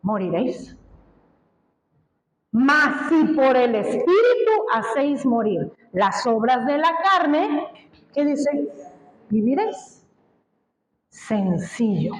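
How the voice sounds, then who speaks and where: A woman's voice: high-pitched, very animated, slow, long pauses, reverberant, a little hiss or hum, rough recording. A woman from Colombia preaching a sermon, speaking emphatically and asking the congregation questions. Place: church.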